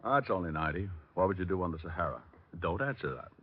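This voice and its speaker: An actor playing an easygoing, wisecracking American private detective in a breezy, teasing 1940s radio drama style. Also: male voice, sits low, expressive, fast talker, husky. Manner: breezy, teasing banter and narration. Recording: muffled old radio broadcast.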